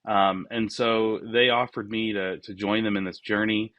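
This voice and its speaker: Low-pitched man, close dry sound. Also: fairly even intonation, normal speed, no echo, dull audio. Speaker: podcast guest, business founder. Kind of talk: casual conversational interview.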